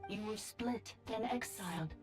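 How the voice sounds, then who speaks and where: A woman's voice: mid-range voice, measured pace, natural voice. Detached female automaton acted by a woman, music behind. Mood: impassive. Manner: detached, declarative, mechanical. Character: cold, cryptic female automaton. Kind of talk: video game voice acting.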